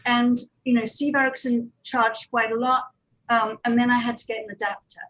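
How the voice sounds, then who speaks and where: Woman explaining, fairly high voice. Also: little pitch movement, moderate pace, a bit bright, rough recording. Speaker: audio drama producer and director, panelist. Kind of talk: explanatory panel talk on production practice.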